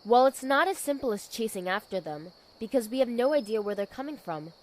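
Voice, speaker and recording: A woman playing a dutiful, weary knight commander in an audio drama, speaking in a measured, authoritative way. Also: a little high, lively intonation, natural voice. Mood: pondering.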